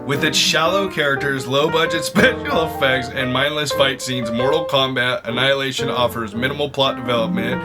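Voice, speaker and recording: A man's voice: medium pitch, moderate pace, few pauses. Casual young guy reacting over music. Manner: informal, slangy, exclaiming. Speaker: video host reacting to and ranking movies.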